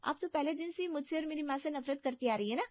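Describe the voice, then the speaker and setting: A woman voicing an angry, accusing young college girl, scolding in a shrill, indignant way, in a story. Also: fast, no room sound, lo-fi sound, lightly acted.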